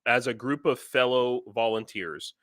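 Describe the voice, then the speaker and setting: A man's voice: mid-range voice, unhurried, fairly bright tone, no room sound. A man hosting a casual livestream interview, rambling a little and often repeating himself as he feels for his words. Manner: hesitant, rambling, repeats phrases.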